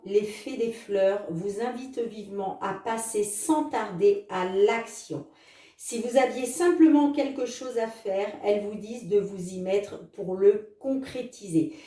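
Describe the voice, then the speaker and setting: A woman giving an oracle card reading to her viewers, talking in a chatty, friendly flow with many filler words. Her voice sits a little high and sounds mellow. She is set back from the mic in a roomy space. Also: distant mic. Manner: chatty, fills with "hein" and "les amis"; reads card messages slowly. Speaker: tarot card reader.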